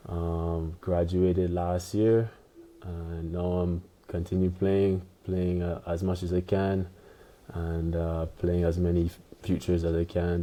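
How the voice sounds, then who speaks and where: A man in his twenties from Barbados, a pro tennis player chatting as a podcast guest, relaxed and loose with lots of "you know". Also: low-pitched. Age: 20s. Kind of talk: casual interview chat.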